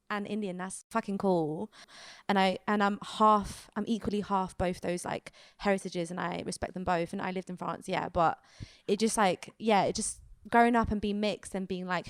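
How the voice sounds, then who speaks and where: A young woman chats as a radio guest, animated and casual, her sentences filled with "like". Her voice is smooth and clear at a mid pitch. The sound is dry and close, with no room around it. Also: no room sound. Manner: chatty, animated, many fillers of like.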